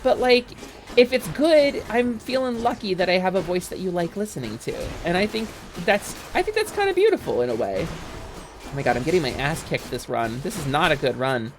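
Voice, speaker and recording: A young adult woman streaming a game, chatting casually and excitably, swearing freely. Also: on the low side, normal speed, music in the background.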